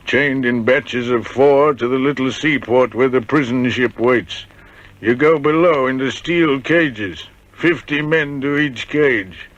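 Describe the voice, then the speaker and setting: An actor playing a haunted old ex-convict with a cracked voice, telling grim prison memories with bitter irony in a radio drama. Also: male voice, medium pitch, fairly bright tone. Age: older. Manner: grim, bitterly ironic storytelling. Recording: dull, lo-fi vintage radio recording.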